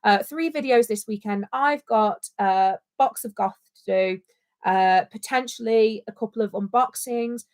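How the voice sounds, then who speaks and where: A woman's voice: a little high, unhurried, darkish tone. A woman co-hosting a casual livestream chat, speaking with passion and repeating her points for emphasis. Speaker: co-host.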